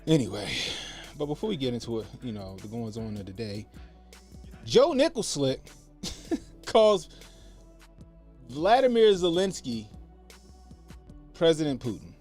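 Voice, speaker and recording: A man hosting his own livestream, chatting about politics in a loose, slangy way and circling back to repeat his best lines. His voice sits at an ordinary middle pitch, and he calls it monotone. Soft music plays faintly under him. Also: a little slow, long pauses. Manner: self-described monotone voice. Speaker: livestream host. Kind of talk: casual political commentary stream. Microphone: podcast mixer setup.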